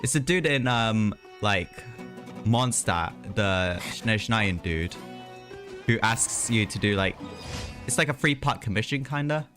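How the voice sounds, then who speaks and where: A man's voice: fairly low pitch, expressive, a little slow, a bit bright. A man chatting casually and ramblingly as he streams a video game, talking to his viewers. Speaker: live streamer. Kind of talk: casual gaming livestream chat. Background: music.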